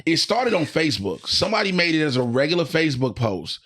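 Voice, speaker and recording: A man's voice: on the high side, fast. American man in casual, slangy podcast banter. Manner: animated, profane, slangy. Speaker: comedian and podcast co-host.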